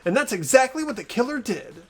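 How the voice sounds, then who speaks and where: A man's voice: extremely high pitch, very animated, a little fast, a bit bright, exaggerated voice. A man voicing an earnest teenage boy who patiently reasons out a murder case, in a fan-voiced game. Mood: explaining. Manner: earnest, explanatory. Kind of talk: fan-voiced visual novel game acting.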